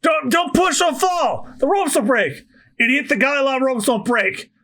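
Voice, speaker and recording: A man voicing loud, panicked pirate comrades in an acted manga read-aloud, shouty and exclamatory. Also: sits high, sing-song, normal speed, voice pushed up, exaggerated voice. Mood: frantic. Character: loud, frantic pirate comrades yelling in alarm.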